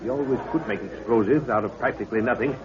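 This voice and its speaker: An actor playing an authoritative middle-aged professor and adventurer in a 1930s radio drama, measured and commanding. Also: male voice, mid-range voice, a crowd in the background, natural voice. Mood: grave, explaining. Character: steady, authoritative male professor and adventurer, a father. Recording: muffled, hissy 1930s radio recording.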